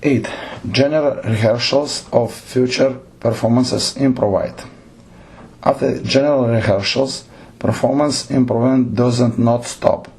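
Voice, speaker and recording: Man, Lithuanian accent, husky voice, very slow, halting lecture delivery with self-corrections. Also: mid-range voice, a little mellow, poor audio. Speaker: theatre director lecturing. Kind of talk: slow read lecture with numbered points.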